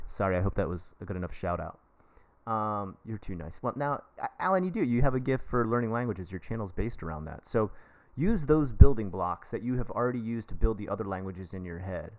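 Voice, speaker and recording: An American man with a fairly low voice hosts a live advice stream. He rambles and cracks puns, talking to his viewers like buddies in a loose, casual way. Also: darkish tone. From United States. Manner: rambling, jokey, chummy, addresses viewers directly. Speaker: livestream advice host; English teacher.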